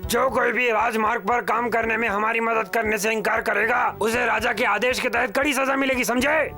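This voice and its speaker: A man's voice: very high voice, fairly even intonation, normal speed, gravelly, sharp tone, faint music behind, heavily acted. A man playing a harsh, bullying royal enforcer in a cartoon, proclaiming threats. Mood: threatening. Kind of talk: animated cartoon dub acting.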